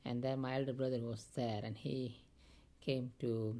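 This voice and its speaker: A man tells his life story haltingly, full of pauses and fillers. His voice is gravelly and dark, with a mellow, low tone throughout. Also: flat delivery, a little hiss or hum. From India. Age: 50s. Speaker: oral history interviewee.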